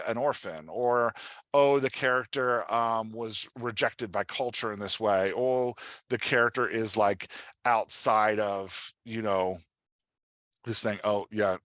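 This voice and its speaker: A man giving an informal workshop as a writing coach, talking in an animated, conversational way and often checking in with his listeners. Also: medium pitch, dry recording. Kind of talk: informal online workshop presentation.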